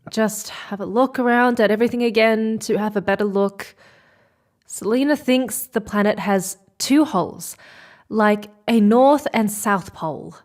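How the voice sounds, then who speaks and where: A woman's voice: mid-range voice, a little mellow, natural voice. A woman acting a determined, stubborn space explorer in a sci-fi audio drama, pleading and insistent.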